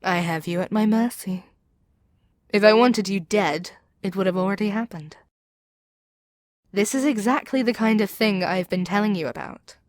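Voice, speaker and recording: A woman's voice: medium pitch, moderate pace, long pauses, darkish tone, no echo, studio quality, lightly acted. A woman playing a proud, seductive demon queen in an ASMR audio roleplay, speaking in an imperious, persuasive, theatrical way. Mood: commanding, taunting. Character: proud, seductive demon queen brainwashing her captive hero.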